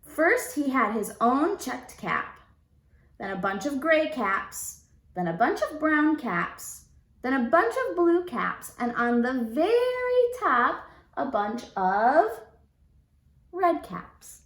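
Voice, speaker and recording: High, very animated woman reading a storybook. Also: echoey room. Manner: deliberate, expressive, prompting listeners with questions. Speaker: teacher. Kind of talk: storybook read-aloud for young children.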